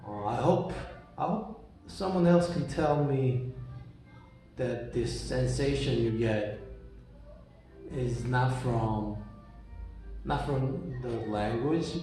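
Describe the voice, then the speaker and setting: A man's voice: mid-range voice, slow, long pauses, dark tone, soft background music. A young man whose first language is Korean, rambling casually with many restarts and fillers. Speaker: reader talking about books. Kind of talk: casual monologue. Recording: very echoey room, voice from far off.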